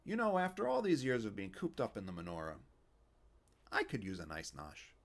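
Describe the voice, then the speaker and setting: A man's voice: on the low side, wildly swooping pitch, moderate pace. A man voicing a grumbling old male lamp genie in a storybook read-aloud, wisecracking and short of breath. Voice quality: kvetching, wisecracking, sing-song questions. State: out of breath, tired.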